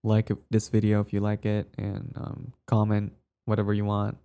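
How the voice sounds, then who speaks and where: A man with a raspy voice, recovering from throat trouble, giving a casual, low-key update to his listeners as channel host. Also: monotone, normal speed, mellow, lo-fi sound.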